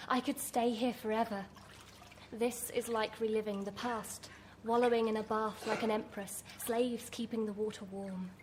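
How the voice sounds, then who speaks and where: A dreamy young woman, played by an actress, musing contentedly to herself in a fairly high voice. It is live radio drama acting with faint noise behind. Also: darkish tone, close to an everyday voice. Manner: wistful, musing aloud to herself.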